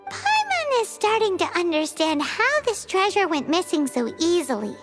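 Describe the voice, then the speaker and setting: A woman playing a tiny, excitable, childlike fairy companion in an anime video game, squeaky and exclamatory. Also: normal speed, over music. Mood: dry. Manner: squeaky, exclamatory, refers to self in third person.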